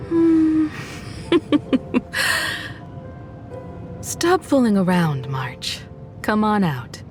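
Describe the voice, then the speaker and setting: A woman voicing a composed, gently teasing mature woman in an anime-style video game. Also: medium pitch, huge pitch swings, very slow, over music, exaggerated voice. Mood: fond, knowing. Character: composed, teasing mature woman, affectionate elder-sister companion.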